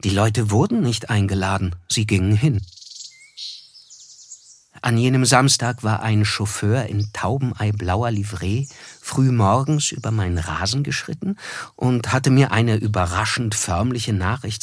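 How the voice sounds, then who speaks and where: An actor narrating a German literary radio play as an observant, reflective writer, measured and wry, with music behind him. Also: male voice, on the low side.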